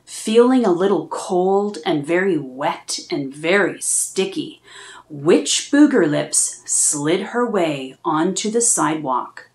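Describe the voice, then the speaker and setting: A woman reading a children's picture book aloud in a slow, expressive storytelling manner. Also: on the low side.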